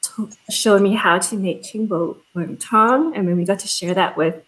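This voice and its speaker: A woman's voice: medium pitch, moderate pace, poor audio. Earnest young woman recalling fondly. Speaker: collective member. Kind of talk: casual panel chat on video call.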